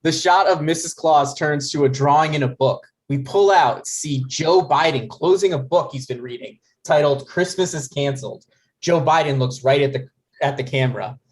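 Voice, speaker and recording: A man's voice: on the high side, normal speed. A man narrating stage directions from a script at a comedy table read.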